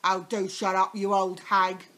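A woman's voice: mid-range voice, monotone, unhurried, a bit bright, lightly acted. A woman voicing a nasty old husband in a children's story, sneering and taunting.

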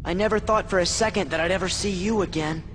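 A man's voice: high-pitched, fast talker, fairly bright tone, slight background noise. An actor playing an earnest, emotional teenage hero boy in a video game, speaking with heartfelt sincerity. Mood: grudging gratitude. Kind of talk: video game cutscene voice acting. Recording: game audio with long reverb, music.

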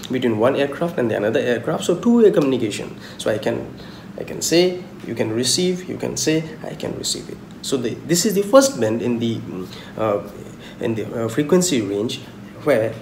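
A young man lectures informally on a technical subject at a middling pitch. He explains haltingly, repeats his points and poses questions that he then answers. The sound is roomy and echoey, with noticeable background noise. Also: darkish tone. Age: young adult. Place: small indoor room at home.

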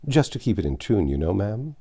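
Man doing a kindly old gentleman, gruff, fatherly, low mellow voice, storybook reading. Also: big pitch swings, fairly quick.

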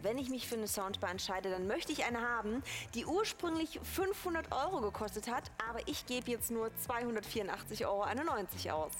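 Chatty, higher-pitched female shopping host. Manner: chatty, persuasive. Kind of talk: live sales pitch addressed to viewers.

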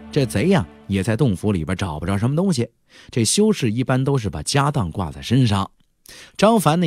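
A man's voice: on the low side, a little mellow, breathy edge, dry recording, studio quality. A man narrating an audiobook as a dramatic, conversational storyteller who leans into rhetorical questions and emphatic endings.

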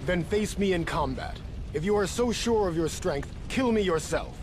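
A man's voice: sits high, expressive, normal speed, over music. A man playing an earnest, honorable fighter in a video game, speaking formally and dutifully. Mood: defiant. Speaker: game character, hero. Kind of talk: video game cutscene acting.

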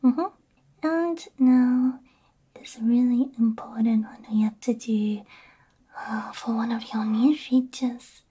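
A woman whispering gently and soothingly in an ASMR roleplay as a repair technician, breathy and close to the microphone. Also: a little high, steady tone. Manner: gentle, soothing, unhurried, soft hums between phrases. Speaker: ASMR VTuber playing a robot repair technician. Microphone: close binaural ASMR microphone.

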